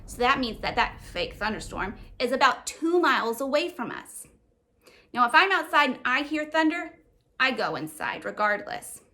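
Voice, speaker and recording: A woman's voice: sits high, very animated, moderate pace, sharp tone. A woman hosting a children's read-aloud, narrating and explaining things simply in a teacherly way.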